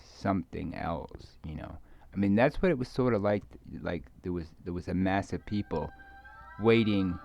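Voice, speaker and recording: A man giving a rambling, casual monologue to viewers, halting and repeating his words as he trails off. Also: on the low side, vocal fry, darkish tone, highs cut off. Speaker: video creator speaking to viewers.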